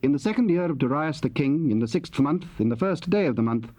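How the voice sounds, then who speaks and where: Higher-voiced man narrating scripture formally. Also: expressive, quick pace, dark tone.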